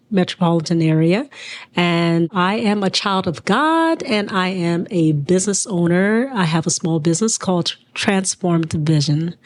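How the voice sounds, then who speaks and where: A woman in her forties, originally from Liberia, speaks as an interview guest in a somewhat low voice. She talks earnestly and in measured phrases, pausing to gather her thoughts. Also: a little mellow. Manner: earnest, measured, pauses mid-sentence. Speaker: guest, business owner. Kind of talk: reflective personal interview.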